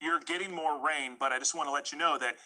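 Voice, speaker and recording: A man's voice: fairly high pitch, steady tone, fast talker, bright, forceful tone. A man working as a TV meteorologist, explaining storms conversationally during live severe weather coverage.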